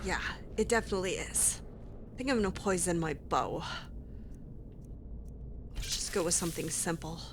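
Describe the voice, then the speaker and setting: A woman's voice: lowish voice, normal speed, pauses often, noisy background, close to an everyday voice. A woman playing a sarcastic, determined female adventurer in a conversational, opinionated way, as an in-character game roleplay. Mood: determined, casual. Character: sarcastic, clever, determined female adventurer who hates cruelty. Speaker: let's play host, role-playing in character. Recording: game music behind the voice.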